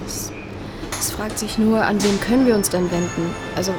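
A woman's voice: mid-range voice, steady tone, normal speed, over music, natural voice. A young actress playing an anxious, questioning young woman in a naturalistic audio drama. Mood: uneasy, doubtful. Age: young adult. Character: anxious, questioning young woman investigating her father's death.

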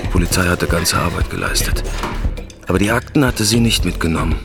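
A deep male voice delivers scripted audio drama narration. Loud music runs behind the words. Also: animated, brisk, gravelly.

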